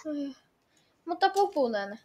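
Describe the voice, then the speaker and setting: A woman playing a game and reacting out loud in a dramatic, exclamatory, pleading way. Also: high voice, sing-song, slight background noise. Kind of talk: excitable reactive gameplay chat.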